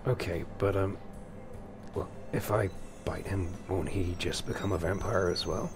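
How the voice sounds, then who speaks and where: A man roleplaying a bewildered, wary newly turned vampire over a video game, speaking in hesitant, halting phrases. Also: sits low, music in the background. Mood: uncertain. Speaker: gameplay roleplayer. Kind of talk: improvised roleplay over gameplay.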